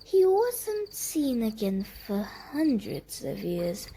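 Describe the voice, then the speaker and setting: Unhurried, animated woman storyteller, softly mellow. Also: fairly high pitch, slight background noise. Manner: dramatic, deliberate, addresses the listener. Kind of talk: expressive bedtime story narration for children.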